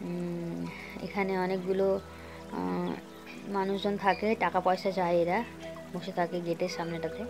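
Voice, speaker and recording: A woman's voice: on the low side, little pitch movement, moderate pace, long pauses, music in the background. A young woman, talking casually to her viewers from a phone held at arm's length in a small room at home, with English words mixed in. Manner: chatty, addresses viewers directly, mixes in English words. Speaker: vlogger. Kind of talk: casual vlog narration to camera. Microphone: phone camera held at arm's length.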